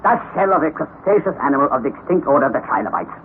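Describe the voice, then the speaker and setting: A man playing a determined, learned older uncle who leads an expedition in an old radio drama, with a brisk, authoritative manner. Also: medium pitch, sing-song, lightly acted. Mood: measured, conceding. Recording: tinny, noisy old radio recording.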